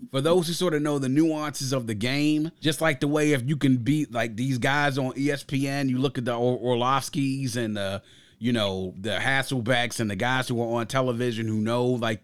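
A man's voice: medium pitch, normal speed. A man with a mid-range voice hosts a music discussion. He talks reflectively and conversationally, laying out his opinions in long, explanatory stretches. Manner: reflective, explains through extended analogies. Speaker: host of an album review discussion.

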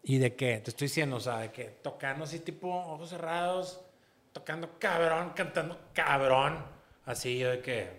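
A man's voice: on the high side, normal speed, a bit bright. A man, chatting casually on a podcast in an earnest, rambling way full of slang.

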